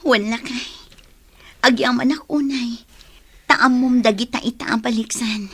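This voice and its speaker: A woman's voice: a little high, fairly even intonation, unhurried, fairly bright tone, slightly rough, no room sound, heavily acted. A woman with an Ilocano accent acting a devout, grateful wife in a radio drama. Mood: grateful, moved.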